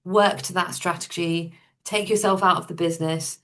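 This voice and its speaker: A woman with a slightly low voice, chatting as an interview guest. Her storytelling is casual and informal, and she puts things plainly and matter-of-factly. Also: steady tone, normal speed, sharp tone. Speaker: podcast guest, entrepreneur.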